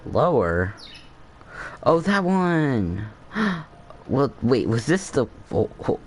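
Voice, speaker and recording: A man giving casual gameplay commentary, halting and rambling as he thinks aloud. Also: mid-range voice, big pitch swings, a little hiss or hum. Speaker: gaming streamer.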